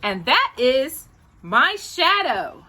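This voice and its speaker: Woman, high voice, very lively intonation, children's read-aloud storytelling. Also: extremely slow. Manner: animated, sing-song reading.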